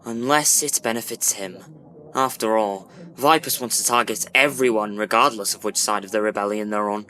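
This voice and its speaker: An actor playing an earnest, persuasive leader of celestial warriors in a fantasy audio drama, grave and reasoning. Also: male voice, on the low side, normal speed, pushed and bright.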